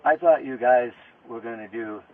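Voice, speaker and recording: A man under arrest talking informally with police, insistent and protesting, halting and often repeating himself. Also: mid-range voice. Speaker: arrested murder suspect. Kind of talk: informal back-and-forth with police during arrest. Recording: noisy, tinny police audio recording.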